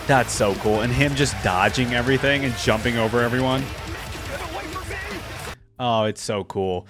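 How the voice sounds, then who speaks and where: Enthusiastic man reacting over loud music. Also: mid-range voice, moderate pace. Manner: enthusiastic, slangy. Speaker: reaction video host. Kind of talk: casual reaction commentary. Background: game trailer music.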